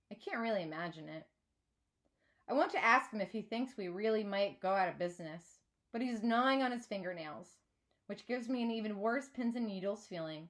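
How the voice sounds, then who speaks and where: A woman reading a children's novel aloud like a teacher, in an expressive storytelling manner. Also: mid-range voice. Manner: clear storytelling with acted dialogue.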